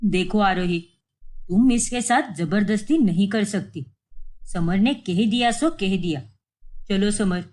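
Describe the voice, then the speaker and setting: A woman voicing an angry, stubborn young father who scolds and shouts back. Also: mid-range voice, takes it slowly, voice pushed down. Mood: firm.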